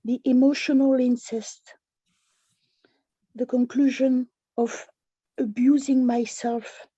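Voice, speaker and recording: A woman's voice: high voice, level tone, slow, warm tone, husky, dry recording. Intense, whispery woman sharing. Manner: intense, tumbling phrases, drops to a whisper. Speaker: newcomer meeting member. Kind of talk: emotional personal share. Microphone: video call. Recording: dull lo-fi dry call audio.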